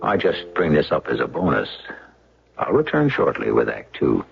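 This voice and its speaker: A man with an American accent hosting a radio mystery drama with theatrical, deliberate scripted narration. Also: rumbling low, huge pitch swings, gravelly. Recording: dull old radio broadcast. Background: loud music.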